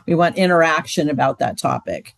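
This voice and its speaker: A woman's voice: low voice, very animated, fairly quick, creaky, no echo. Chatty woman with a dry close sound. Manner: casual, chatty, many "like" and "um". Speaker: marriage ministry leader. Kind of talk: training session talk.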